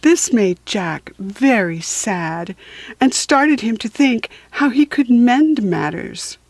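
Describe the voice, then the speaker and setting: A woman reading a children's storybook aloud for beginning readers, deliberate and patient, with chatty asides to listeners. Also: on the high side, lively intonation, no room sound. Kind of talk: slow read-aloud storybook narration for beginners.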